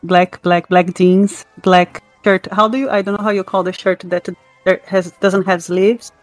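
Woman, twenties, halting word search, dry sound, faint music. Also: mid-range voice, level tone, dry recording. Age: 20s. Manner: hesitant, searching for words. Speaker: player.

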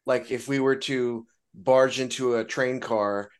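A man's voice: mid-range voice, steady tone, a little slow, smooth. A man talking casually as a player on a tabletop game podcast, throwing out examples with lots of "like" fillers.

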